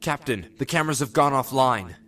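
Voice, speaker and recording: An actor playing an earnest, persistent, dutiful ensign in a science fiction audio drama. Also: male voice, medium pitch, lively intonation, a little fast, recorded from a distance, over music, lightly acted. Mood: anxious, reporting. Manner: earnest, pleading with superior.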